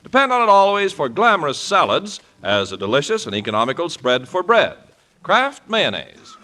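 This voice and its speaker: Male commercial announcer for a vintage 1940s radio broadcast: forceful, very animated swoops, polished and emphatic selling. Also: normal speed. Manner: polished, emphatic sales pitch.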